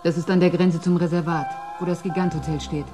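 An actress playing a stern, dry ministry official, speaking in a curt, formal, businesslike way in a radio drama. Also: female voice, on the low side, fairly even intonation, fairly quick, over music, natural voice.

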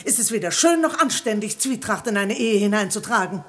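An actress playing a sharp-tongued, defiant wife in a 1960 radio comedy, scolding and sarcastic. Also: female voice, mid-range voice, animated, fairly quick, close to an everyday voice.

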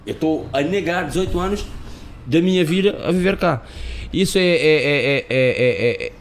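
A man's voice: fairly high pitch, expressive, moderate pace. A man from Cape Verde, a guest on an interview, who talks emphatically and repeats words while searching for them. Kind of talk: interview conversation.

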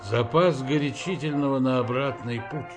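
An actor playing a cheeky, chatty young wartime sailor who likes to grumble, in a Russian radio drama. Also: male voice, mid-range voice, moderate pace, lightly acted. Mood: eager, grumbling. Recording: music behind the voice.

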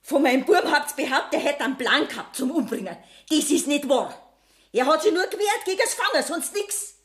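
A woman's voice: sits high, lively intonation, fairly quick. An actress playing an embittered, poor middle-aged Bavarian mother who accuses bluntly in a Bavarian accent, in a radio play. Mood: indignant, accusing. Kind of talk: 1970s German radio play acting.

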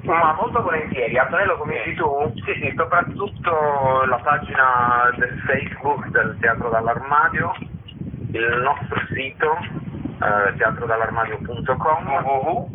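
A man giving a spontaneous interview as a theatre guest, speaking haltingly and emphatically, repeating words for stress. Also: mid-range voice. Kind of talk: spontaneous radio interview by phone. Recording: tinny phone line with clear noise.

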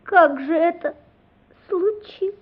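An actress playing an excitable, dreamy eight-year-old boy in a radio play, with a breathless, exclamatory, childlike delivery. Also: very high voice, slow, narrow, tinny audio. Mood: anxious, dismayed.

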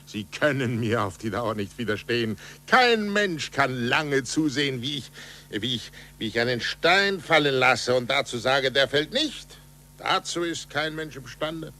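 An actor playing a passionate, stubborn astronomer in a fervent, declamatory 1940s German radio play style. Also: male voice, mid-range voice, animated, a little slow, bright, forceful tone. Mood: fervent, convinced. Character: passionate, stubborn male astronomer championing proof and reason. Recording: noisy, humming 1940s radio recording.

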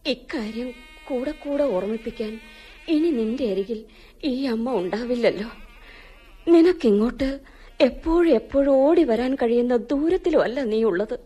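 A woman's voice: fairly high pitch, expressive, normal speed, dark tone, husky. A woman with a Malayali accent acting a fretful, loving middle-aged mother who admonishes her daughter in a radio drama. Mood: anxious advice. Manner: admonishing, anxious.

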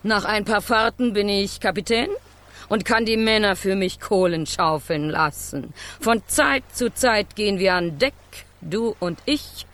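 A woman's voice: medium pitch, unhurried, fairly bright tone, lightly acted. A woman playing a feisty, scornful would-be ship's stoker in a children's radio play, blunt and grumbling, with dramatic exclamations. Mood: eager.

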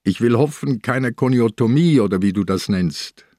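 An actor playing a sick old police inspector in a radio crime drama, shrewd, wry and terse. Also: male voice, medium pitch, lively intonation, moderate pace, dark tone, dry recording, clean studio sound, lightly acted.

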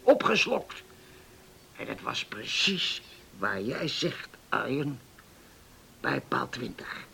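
Radio play: garrulous old islander, gravelly and bright, folksy, halting, noisy old recording. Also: male voice, mid-range voice, sing-song, exaggerated voice. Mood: wistful. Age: 70s. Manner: folksy, halting, repeats himself, verbal tics. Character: old Dutch islander man, garrulous, reminiscing storyteller. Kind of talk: radio drama acting.

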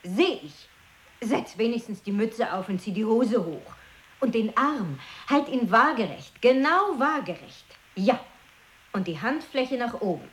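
An actress playing a bossy woman who inspects and gives orders deliberately in an experimental radio play. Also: on the high side, animated. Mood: commanding, probing. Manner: commanding, slow, deliberate phrasing.

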